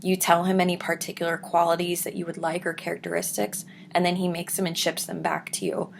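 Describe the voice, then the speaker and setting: Raspy, soft-spoken woman vlogger. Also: little pitch movement, fairly quick, a little hiss or hum. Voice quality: deep, raspy voice. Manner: soft-spoken, drifts into whispers. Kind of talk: casual chat reading viewer comments.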